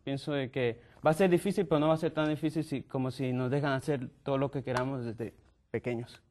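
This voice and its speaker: A man's voice: mid-range voice, steady tone, a little fast, darkish tone. Hesitant young man from Mexico. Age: young adult. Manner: hesitant, repeats words while thinking. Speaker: panelist. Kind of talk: panel discussion.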